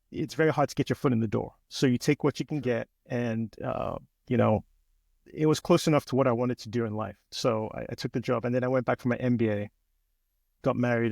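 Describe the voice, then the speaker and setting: A man from Nigeria in his forties, a business owner telling his story as a podcast guest in a relaxed, chatty way. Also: mid-range voice, gravelly, no room sound, clean studio sound. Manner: relaxed, chatty, frequent "um" and "you know". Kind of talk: casual interview storytelling.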